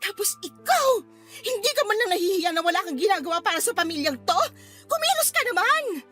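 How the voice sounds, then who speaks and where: A woman's voice: very high-pitched, very animated, a little fast, pushed and bright, faint music behind, full character voice. An actress playing a feisty, fed-up Filipino mother in a radio drama, scolding her drunkard partner in a combative, emphatic way. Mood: bitter, reproachful.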